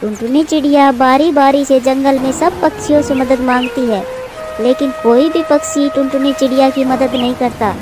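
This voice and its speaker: A woman's voice: high voice, steady tone, normal speed, music in the background. A woman reading scripted narration for a children's cartoon story.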